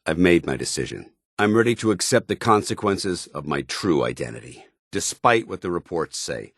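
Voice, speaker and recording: A deep-voiced, earnest military paladin. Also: male voice, sing-song, a bit bright, slightly rough, dry recording, clean studio sound, full character voice. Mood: resolute. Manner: grave, formal, deliberate soldierly delivery. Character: earnest, honour-bound military paladin, a synthetic man troubled by his identity. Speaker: voice actor in a video game. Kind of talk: dramatic video game dialogue.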